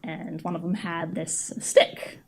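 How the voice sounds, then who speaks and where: A woman's voice: fairly low pitch, level tone, takes it slowly. A woman guesting as a podcast co-host, telling a story in a casual, hesitant, unscripted way. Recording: clearly noisy recording with clicks.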